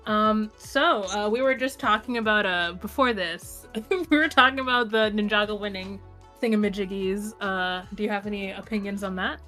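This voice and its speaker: A woman hosts a casual podcast in a medium-pitched voice. She talks informally and a bit hesitantly, often letting sentences trail off. Faint music plays behind her. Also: moderate pace, pushed and bright. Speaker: podcast host. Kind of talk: casual fan podcast discussion.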